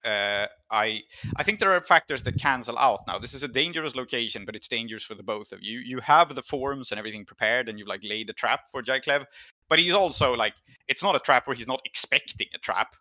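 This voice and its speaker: Bright-voiced man as game master, dry recording. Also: mid-range voice, lively intonation, fairly quick, no echo, highs cut off. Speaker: game master of a tabletop role-playing show. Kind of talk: improvised game narration and rules talk.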